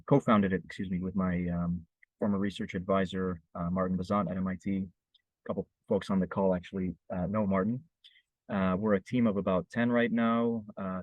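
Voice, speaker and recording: A man with a very low, flat voice introduces himself quickly, peppering his speech with "uh". The sound is dry and muffled, like a lo-fi video call. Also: no echo. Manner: quick, with many "uh" fillers. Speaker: startup CEO.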